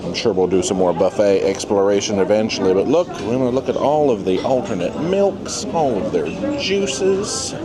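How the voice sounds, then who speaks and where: A man's voice: lowish voice, expressive, moderate pace, hardly any pauses, a crowd in the background. A man narrating a casual travel vlog in a playful, exclamatory way. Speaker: travel vlogger. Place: on board a cruise ship, various spaces. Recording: clear background noise, ship ambience.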